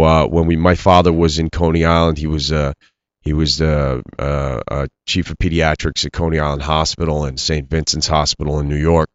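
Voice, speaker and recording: A man's voice: very deep voice, dry recording, muffled recording. A middle-aged man from Iran, raised in Pennsylvania, talking earnestly in an interview with many restarts. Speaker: actor guest. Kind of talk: interview conversation.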